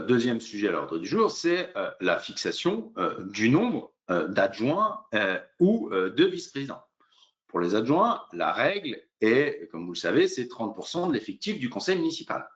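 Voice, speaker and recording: Un homme qui fait une présentation juridique explicative, semi-improvisée, de façon didactique, pas à pas, avec beaucoup d'hésitations. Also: male voice, on the low side, fairly bright tone, muffled recording. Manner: hesitant, didactic, step-by-step with frequent fillers. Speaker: lawyer presenting a legal webinar. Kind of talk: explanatory legal presentation, semi-improvised.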